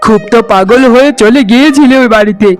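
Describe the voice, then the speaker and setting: A stern but soft-hearted cartoon family man, acted by a man. His voice is high for a man and his delivery is emphatic and scolding. Music sits behind it. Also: fast. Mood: angry, scolding. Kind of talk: Bengali cartoon voice acting.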